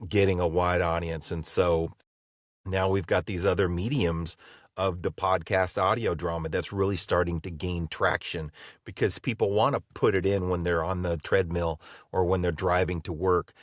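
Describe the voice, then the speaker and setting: A man's voice: low-pitched, fairly even intonation, moderate pace, dry recording, studio quality. A man co-hosting a podcast, talking enthusiastically and rambling with many "you know"s. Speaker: podcast co-host. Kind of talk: casual podcast conversation.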